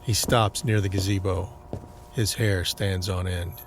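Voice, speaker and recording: A man's voice: low-pitched, steady tone, unhurried, noisy background. A man reading scripted dramatic narration for an audio drama. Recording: dramatic music under the voice. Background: music.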